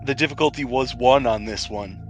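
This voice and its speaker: A man with a mid-pitched voice runs a tabletop role-playing game as game master. He narrates casually and slips in slangy jokes. Faint music plays underneath. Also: moderate pace. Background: background music.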